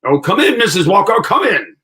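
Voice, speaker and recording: Guy doing blustery old-time radio dept store boss, shrewd, middle aged, very animated. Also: high-pitched, fairly quick, loud music behind the voice. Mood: hearty, pleased. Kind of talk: 1940s radio acting.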